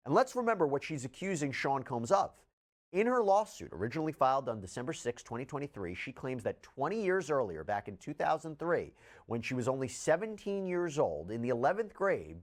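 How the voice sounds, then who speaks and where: A man explains case updates in the style of a news commentator. His voice is medium in pitch and moves up and down a great deal. He puts deliberate stress on key words and sets up points with rhetorical questions.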